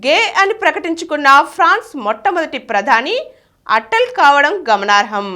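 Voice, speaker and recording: A woman's voice: sits high, big pitch swings, moderate pace, pushed and bright. A woman reading scripted news reports in a formal announcing manner. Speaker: news reader.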